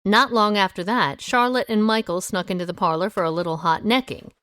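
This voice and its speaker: An actress playing a confident, wry amateur sleuth who questions suspects briskly and methodically in an audio drama. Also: female voice, medium pitch, background noise, natural voice. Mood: brisk, probing.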